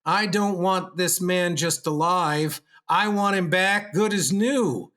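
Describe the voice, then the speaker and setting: A man's voice: high-pitched, slow pace, a bit bright. A man playing an insistent, commanding United States President in a radio play. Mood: demanding. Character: forceful, grateful male US President.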